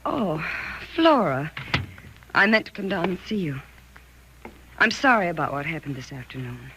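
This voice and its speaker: An actress playing an anxious, frightened wife in a 1940s radio thriller, polite, hesitant and pleading. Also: female voice, sits low, very animated, normal speed. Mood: confused, fearful. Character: anxious, frightened wife doubting her husband. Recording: noisy, crackly 1940s radio recording.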